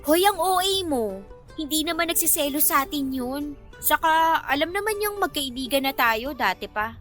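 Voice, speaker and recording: A woman's voice: high-pitched, expressive, moderate pace, fairly bright tone, soft background music. An actress playing a feisty young Filipino woman, brash and playfully bantering in a radio romance drama. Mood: indignant.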